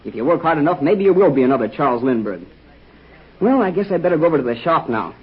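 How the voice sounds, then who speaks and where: A man's voice: a little high, very animated, fast, husky, heavily acted. An actor playing an earnest, cheerful American boy sidekick in 1940s radio acting style. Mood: eager. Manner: cheerful, eager, wholesome. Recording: muffled old radio recording.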